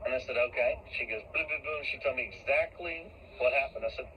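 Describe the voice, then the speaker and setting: A man being interviewed, telling anecdotes casually in a folksy, halting way with repeated words. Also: mid-range voice, sharp tone. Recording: noisy played-back online interview clip.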